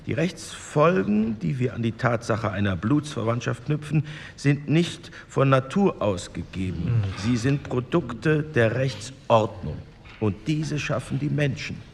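An actor playing a sober male committee chairman of the 1940s in a radio drama, lecturing in a measured, factual, creaky voice. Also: lively intonation. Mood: measured, authoritative. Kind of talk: re-enacted parliamentary speech. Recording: reverberant hall, faint rumbling noise.

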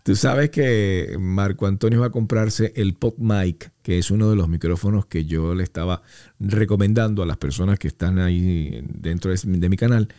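A man from Venezuela hosting a podcast, chatting in a relaxed way on a studio microphone and reading out listener messages. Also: sits low, normal speed. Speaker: podcast host. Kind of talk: casual podcast chat.

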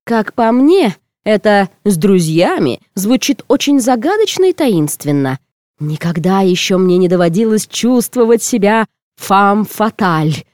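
A woman reading first-person comic fiction aloud as an audiobook narrator, in an expressive, theatrical way. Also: mid-range voice, measured pace, no echo, clean studio sound.

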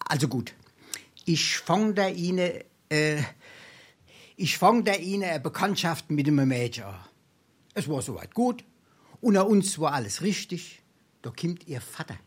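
A man's voice: fairly high pitch, lively intonation, measured pace, long pauses, breathy edge. A male actor with a Darmstadt Hessian accent playing a wily, boastful penniless scrounger in a dialect stage comedy, theatrical and full of dramatic hesitation. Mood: wistful, dramatic. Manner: theatrical, braggartly, hesitating for effect.

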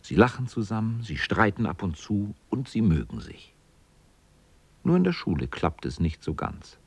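A man with a husky voice narrating a scripted children's story as the narrator of a radio drama. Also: on the low side, very animated, normal speed, no echo.

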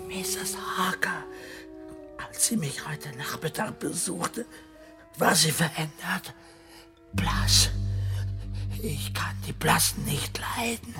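An actor playing a dying, tormented madman in a German radio horror drama, breathy whispers breaking into feverish, halting cries. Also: fairly high pitch, lively intonation. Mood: tormented raving. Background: music.

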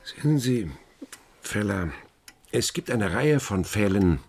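An actor in a radio play, an older man playing a sly police inspector at the end of his career, musing, deliberate and dryly ironic. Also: fairly low pitch, animated, gravelly, over music, exaggerated voice. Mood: slyly probing.

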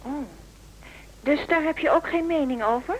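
A pushy young woman as an actress plays her, with a fairly high voice and an informal, chatty delivery. She is brisk and insistent, a schemer in a radio play. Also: slight background noise, close to an everyday voice, rough recording. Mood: pointed, insistent. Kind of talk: Dutch radio drama dialogue.

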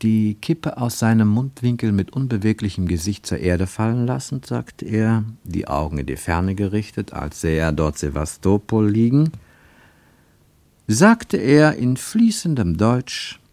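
Male narrator for a radio travelogue play. Fairly low voice, dry close studio sound, measured and expressive. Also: no room sound. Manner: expressive, measured storytelling delivery.

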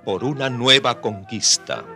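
Casting: radio drama announcer, solemn and proclaiming, wide pitch movement, over prominent music. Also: male voice, animated, measured pace. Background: loud music.

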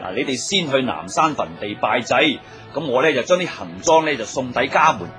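A man's voice: mid-range voice, big pitch swings, normal speed, over music, close to an everyday voice. A man playing a hearty, practical former boatman in a Cantonese radio drama, bluff and colloquial. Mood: brisk, helpful.

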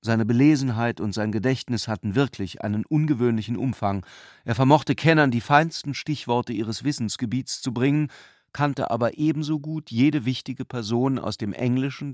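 Male narrator for literary radio drama, mid-pitched, dry studio sound. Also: no echo.

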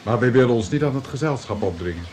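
An actor playing a reserved travelling friar, polite and guarded, in a radio play. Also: male voice, medium pitch, lively intonation, quick pace, darkish tone, slight background noise, close to an everyday voice. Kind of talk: radio drama acting.

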